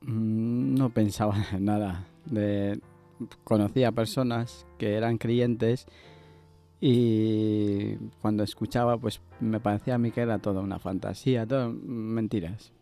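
Very mellow, halting man. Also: lowish voice, steady tone, faint music behind. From Ecuador. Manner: hesitant, halting. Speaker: interview guest. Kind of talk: personal testimony in interview.

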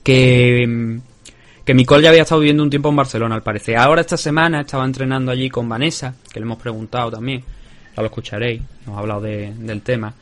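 A male podcast host with a Spanish accent, talking in a loose, chatty way. He rambles, restarts his sentences and keeps circling back to his point. His voice sits at an ordinary, average pitch. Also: fairly even intonation, fast. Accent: Spanish from Spain. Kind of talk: casual chat. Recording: remote call.

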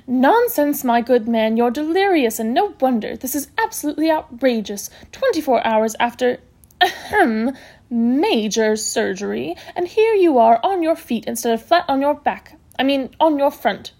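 Woman putting on a bossy, high-pitched hospital matron. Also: lively intonation, moderate pace, a little mellow. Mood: indignant, commanding. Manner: exclamatory, no-nonsense, emphatic. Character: brisk, bossy, self-assured hospital matron, cheerfully scolding.

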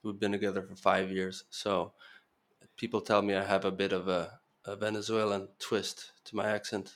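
A man's voice: on the low side, level tone, normal speed, fairly bright tone. A man speaking as a podcast guest, explaining his views in casual talk with lots of fillers and restarts. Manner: earnest, explanatory, uses many fillers and restarts.

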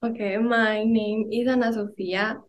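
A woman's voice: a little high, steady tone, measured pace. A teenage female student from Colombia with a Colombian accent and Spanish as native language, giving casual interview answers. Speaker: student guest.

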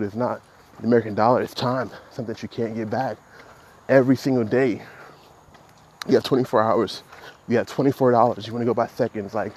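A man in his thirties hosting a solo podcast, talking reflectively about his goals in a halting way with many restarts. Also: mid-range voice, darkish tone, a little hiss or hum. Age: 30s. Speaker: podcast host. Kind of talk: solo motivational podcast monologue.

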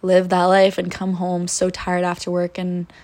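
A woman in her twenties hosting a podcast, telling her life story in a soft, reflective and earnest way, with spiritual wording. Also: fairly low pitch, monotone, normal speed. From United States. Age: 20s. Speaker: podcast host. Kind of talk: personal storytelling monologue.

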